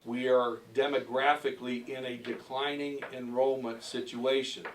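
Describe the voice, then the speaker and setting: A man's voice: mid-range voice, flat delivery, moderate pace. American male superintendent, formal meeting remarks, roomy distant pickup with background noise. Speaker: school district superintendent. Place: indoor board meeting room. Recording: echoey, noisy meeting room, distant mic.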